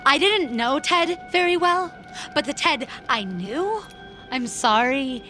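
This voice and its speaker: A woman's voice: high-pitched, lively intonation, slow pace. A woman playing an earnest, prim and insistent office worker in an audio drama. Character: earnest, insistent young office woman who questions everything. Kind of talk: audio fiction acting. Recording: music under the voice.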